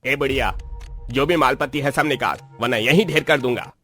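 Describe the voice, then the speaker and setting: A man's voice: medium pitch, fairly quick, sharp tone, faint music behind, close to an everyday voice. A man voicing a menacing cartoon thief. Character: gruff threatening male masked thief. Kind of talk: Hindi cartoon story dialogue.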